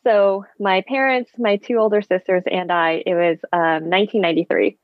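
A woman's voice: medium pitch, steady tone, normal speed, no room sound. A woman in her thirties, born in Vietnam and raised in the Bay Area with Vietnamese as her first language, speaking as a friendly podcast guest over a video call. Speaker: guest, physical therapist and running coach. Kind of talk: casual interview chat. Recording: dull video-call audio.

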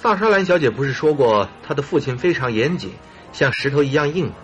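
An actor playing an earnest, easily miffed male sidekick in a Mandarin radio drama, expressive and huffy. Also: medium pitch, fast talker, faint music behind, close to an everyday voice.

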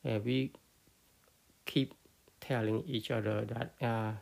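A man with a Cambodian accent giving an unscripted Buddhist talk, halting often with fillers and restarts. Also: mid-range voice, fairly even intonation, gravelly, darkish tone. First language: Khmer. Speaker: Buddhist teacher.

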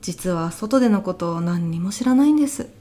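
A woman playing an elderly widow in an audio comedy sketch, polite and soft-spoken, with deadpan, bewildered reactions. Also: fairly low pitch, fairly quick. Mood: polite, wistful.

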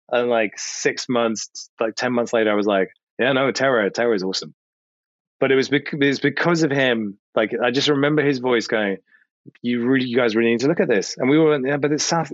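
A man's voice: medium pitch, fairly bright tone, dry recording. British man chatting in slightly muffled audio. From United Kingdom. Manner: informal, repeats phrases for emphasis, quotes imagined dialogue. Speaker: podcast guest, video producer. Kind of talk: casual chat, animated anecdotes.

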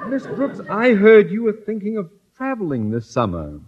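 A fussy, ingratiating middle-aged principal from a golden-age radio comedy. His fairly high voice swoops with effusive courtesy and stammering politeness. The old recording is dull and muffled. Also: wildly swooping pitch, normal speed, darkish tone, a faint crowd. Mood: eager, ingratiating. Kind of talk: 1940s-50s radio comedy acting.

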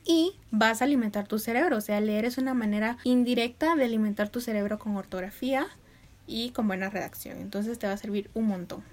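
A woman's voice: a little high, a little fast, darkish tone. A young woman, chatting casually and upbeat to her viewers at home. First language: Spanish. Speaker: vlogger. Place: home room with neighbors' animals outside.

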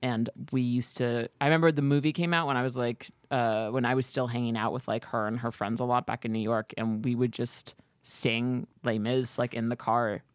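A young adult woman with a deep voice, co-hosting a casual podcast, playful and quick to laugh. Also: steady tone, no room sound, studio quality. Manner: playful, laughs often, jokes about sponsors. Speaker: podcast co-host. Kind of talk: casual chat.

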